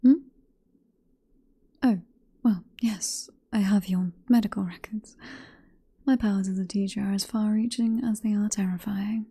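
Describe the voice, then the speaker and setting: A very dark, soft British female voice, breathy and husky, whispering close to the microphone. It is a sly, possessive vampire professor acted by a woman in an ASMR roleplay. She coaxes and teases in an unhurried murmur. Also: medium pitch, fairly even intonation. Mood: persuasive, soothing. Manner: coaxing, teasing, unhurried murmur.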